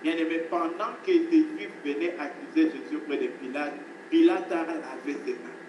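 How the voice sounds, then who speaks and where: A man preaching with insistent repetition, his fairly high voice heard from across an echoing room. The recording is noisy and distant, with the space ringing around him. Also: moderate pace, a little mellow. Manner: emphatic preaching, repeats phrases, addresses listeners as beloved. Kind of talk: sermon.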